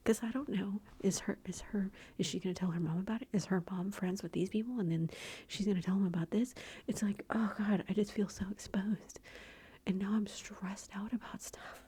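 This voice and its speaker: A middle-aged woman chatting in a soft, rambling whisper for her ASMR channel, confiding and talkative. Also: mid-range voice, a little fast, a little hiss or hum. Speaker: ASMR YouTuber.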